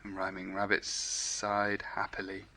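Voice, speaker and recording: Bright-voiced man reading a children's story. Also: deep voice, completely flat delivery, unhurried, slight background noise. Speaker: storybook reader. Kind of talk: children's story read aloud.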